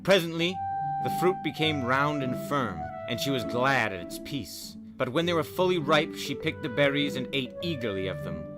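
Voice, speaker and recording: A man's voice: mid-range voice, sing-song, moderate pace, low-quality recording. A man hosting a spooky story podcast, narrating in a dramatic storyteller's style. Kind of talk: dramatic fairy-tale narration with casual commentary. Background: music.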